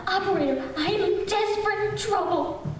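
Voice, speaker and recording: A woman's voice: extremely high pitch, normal speed. A woman playing a frightened, pleading lady alone at night in a radio thriller, stammering with dread. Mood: desperate panic. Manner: breathless pleading, stammering, hushed then frantic. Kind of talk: 1940s radio thriller acting on stage. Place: stage in a large echoey hall. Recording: very echoey hall, distant mic, noisy.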